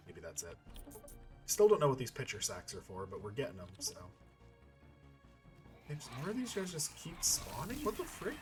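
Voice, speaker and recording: A man giving casual gameplay commentary as a playthrough host, rambling and thinking aloud as he goes. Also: lowish voice. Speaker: video game playthrough host.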